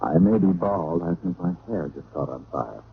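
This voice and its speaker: An actor playing a wry, deadpan hard-boiled private detective in a 1940s-style radio drama. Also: male voice, low voice, normal speed, creaky, full character voice. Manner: deadpan, wisecracking. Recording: noisy, tinny old radio recording.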